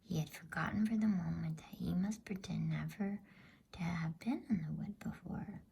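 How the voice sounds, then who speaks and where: A woman whispers a bedtime story in ASMR style. Her breathy voice is low and slow, with a gravelly fry underneath. She keeps it soft and soothing over a faint background noise.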